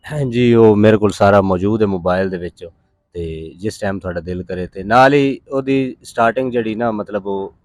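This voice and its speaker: A man's voice: on the low side, moderate pace, darkish tone, no room sound. A man from Pakistan with a Punjabi accent, telling his story casually as an interview guest in a rambling, plain-spoken way.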